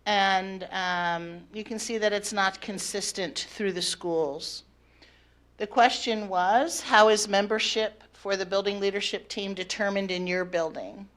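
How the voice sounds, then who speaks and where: Loud-voiced woman presenting at the podium. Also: mid-range voice, fairly even intonation, a little slow. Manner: loud voice, talks partly off the cuff with fillers. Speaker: teachers' union negotiator. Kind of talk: semi-prepared public presentation. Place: board of education meeting room. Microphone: podium microphone.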